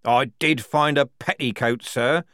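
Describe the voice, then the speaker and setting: A man's voice: medium pitch, animated, normal speed, fairly bright tone, dry recording, studio quality, voice pushed up, close to an everyday voice. A man voicing a shifty, snarling army captain in an audiobook, defensive and evasive in his speech. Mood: flustered, evasive. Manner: hesitant, evasive. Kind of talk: audiobook character dialogue.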